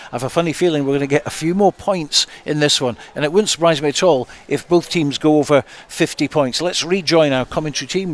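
A man presenting a live rugby broadcast on the radio, handing over to commentators. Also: on the high side.

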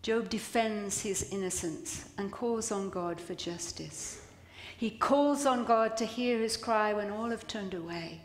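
An older woman priest giving a sermon from a church pulpit, with measured, dramatic delivery and deliberate pauses. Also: medium pitch. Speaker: priest preaching. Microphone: gooseneck lectern microphones. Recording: echoey church with long reverb.

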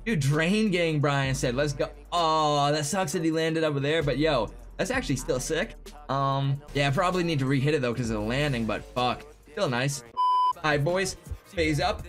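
A young man in his twenties live-streaming a game from his home setup, chatting excitably with viewers, swearing freely and going hoarse. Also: on the high side, moderate pace, music in the background. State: losing his voice. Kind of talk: live gaming stream chat. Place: home gaming room.

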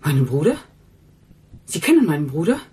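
An actress playing a naive, deferential housekeeper in a German radio crime drama, rambling and correcting herself. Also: female voice, low voice, sing-song, brisk, dark tone, breathy edge, exaggerated voice. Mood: chatty, artless. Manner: rambling, simple, self-correcting.

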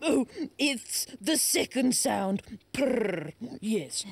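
A woman voicing a big, good-natured, excitable shaggy dog puppet in a children's show, with theatrical, playful exclamations. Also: sits high, slow, a little hiss or hum, heavily acted. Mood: friendly, confident.

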